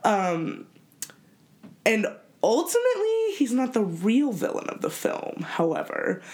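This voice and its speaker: Young woman, podcast co-host, nasal from a cold, animated and bouncy delivery. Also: fairly high pitch, a little slow. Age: young adult. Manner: lively, enthusiastic. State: sick, nasal. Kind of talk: casual chat.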